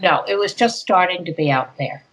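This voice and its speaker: An older woman, a guest speaker, talking through an interview in an unhurried, rambling way with plenty of fillers. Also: on the low side, lively intonation, fairly bright tone, low-quality recording. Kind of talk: conversational interview.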